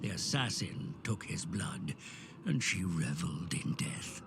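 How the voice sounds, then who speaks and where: A man narrates in a low, unhurried voice, grave and measured like a cutscene storyteller. He drops now and then into hushed, whispered phrases. Loud orchestral music plays behind his voice. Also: expressive, vocal fry. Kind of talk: scripted dramatic narration. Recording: studio narration over loud orchestral score.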